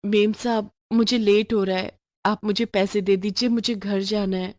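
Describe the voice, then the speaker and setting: A woman's voice: mid-range voice, fairly even intonation, normal speed, darkish tone, dry recording, close to an everyday voice. A woman acts out a poor working mother from a storybook tale. She speaks humbly and hesitantly, pleading with deference, in a dry, close sound. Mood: anxious pleading. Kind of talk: moral storybook voice acting.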